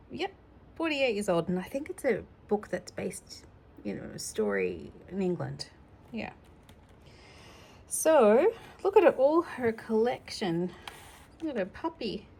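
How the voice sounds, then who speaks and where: A woman's voice: mid-range voice, vocal fry, a little mellow. A middle-aged woman hosting a children's storytime, chatting casually and explaining things simply as if to a child. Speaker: storytime host reading children's books. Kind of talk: casual read-aloud with chatty asides.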